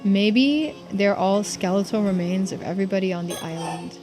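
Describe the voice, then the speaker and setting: A woman with a mid-range voice commentates casually as she plays a game. She thinks out loud and checks in with her viewers, with game music running behind her. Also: medium pitch, fairly even intonation, normal speed. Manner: muses aloud and addresses viewers. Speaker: game streamer.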